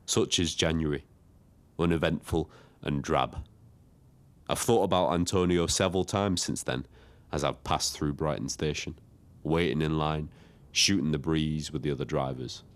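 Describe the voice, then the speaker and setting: An actor playing a lonely, reflective taxi driver in an audio drama, telling his story in a measured, literary way. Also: rumbling low. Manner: wordy, literary, measured first-person storytelling. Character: Frank, lonely reflective male Brighton taxi driver and diarist. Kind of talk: scripted dramatic diary narration.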